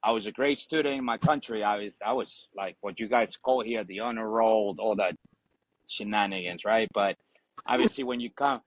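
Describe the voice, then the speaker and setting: A man's voice: on the low side, normal speed, no room sound, rough recording. A man, telling his story casually and informally as a guest. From Ecuador. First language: Spanish. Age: 40s. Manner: informal, frequent "you know" and "obviously", swearing. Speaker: podcast guest, Marine veteran.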